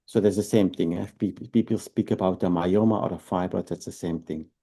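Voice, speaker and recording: Belgian-accented man with a gravelly voice. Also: low-pitched, fairly even intonation, dark tone. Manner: unhurried, explains step by step with tag questions. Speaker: fertility doctor. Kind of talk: explanatory interview talk.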